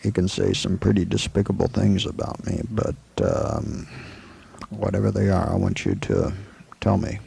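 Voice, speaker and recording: Man playing a deep, gravelly western marshal. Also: warm tone, slight background noise. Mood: friendly, guarded. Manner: halting, deliberate, folksy. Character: steady, weary, plain-spoken male frontier marshal. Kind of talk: western radio play acting.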